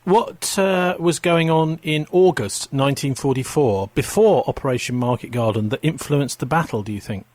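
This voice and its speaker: Brisk male radio host, dry sound. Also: medium pitch, darkish tone, no room sound. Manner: brisk, leading questions. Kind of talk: radio interview.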